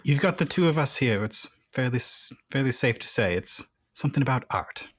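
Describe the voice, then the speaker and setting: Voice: mid-range voice, lively intonation, a little fast, breathy edge, no echo. A player roleplaying in a tabletop game, stammering and exasperated. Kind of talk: in-character roleplay.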